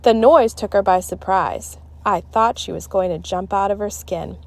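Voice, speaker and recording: A woman narrating a children's book aloud in an expressive, dramatic, deliberate style. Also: mid-range voice. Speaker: storybook reader.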